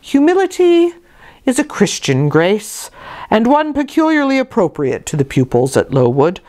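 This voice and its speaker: A woman voicing a stern, pompous, pious middle-aged gentleman in a storybook reading, moralizing with deliberate weight. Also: a little high, sing-song, voice pushed up. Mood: sanctimonious. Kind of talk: dramatized audiobook reading.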